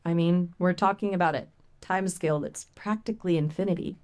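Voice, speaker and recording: Playful low-pitched woman chatting casually. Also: brisk. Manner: playful, expressive, colloquial. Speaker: conversational AI voice assistant. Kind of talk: casual conversational chat.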